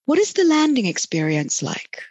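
A woman hosting a conversational interview, asking thoughtful, measured questions. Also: fairly high pitch, very animated, darkish tone, breathy edge, dry recording, highs cut off. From South Africa. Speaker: podcast host, interviewer.

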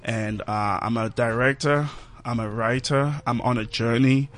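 A man with a South African accent, a Zulu speaker, answering interview questions in a measured, reflective way. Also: mid-range voice, steady tone. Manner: measured, reflective, softening toward the end of phrases. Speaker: film director, studio guest. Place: radio studio.

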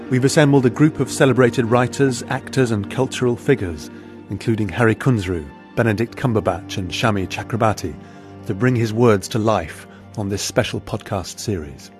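A man's voice: fairly low pitch, normal speed, a little mellow, soft background music. A man presenting a podcast with a scripted introduction.

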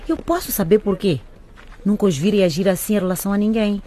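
A woman acting in a radio drama as a protective, bewildered mother who is brisk with her children. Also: mid-range voice, mellow, close to an everyday voice. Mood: concerned, puzzled.

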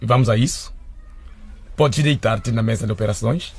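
A man's voice: medium pitch, moderate pace, husky. A man acting in a radio drama as a shady, mercenary doctor with a businesslike manner. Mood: smooth, businesslike. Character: shady, cold, mercenary male abortion doctor.

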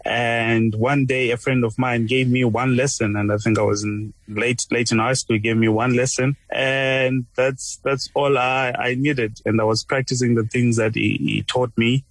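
A man's voice: medium pitch, little pitch movement, moderate pace, few pauses, no echo, low-quality recording. A man from Zimbabwe, a guest musician talking casually in a radio interview, often repeating words and using fillers as he searches for them. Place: remote location away from home, calling in.

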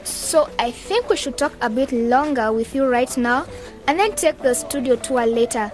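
High-voiced assertive young woman, played by an actress. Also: moderate pace, soft background music, close to an everyday voice. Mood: earnest. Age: young adult. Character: sharp young woman, planning a community radio station. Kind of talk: radio drama acting.

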